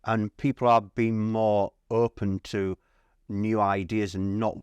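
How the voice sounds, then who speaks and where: A man's voice: on the low side, flat delivery, slow pace, darkish tone, clean tone, dry recording. A man interviewing in a casual, informal chat, repeating words as he frames his thoughts and questions. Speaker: interviewer for a hi-fi channel. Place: at a hi-fi trade show.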